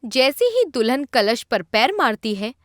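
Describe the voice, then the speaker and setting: A woman narrating a scripted animated horror story. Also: a little high, measured pace, no room sound, studio quality.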